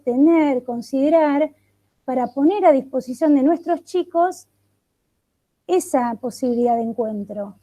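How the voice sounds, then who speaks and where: A woman speaks in a high, sharply bright voice. She leads an online catechesis workshop over a video call, working through one question after another with thoughtful pauses. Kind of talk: reflective online workshop talk.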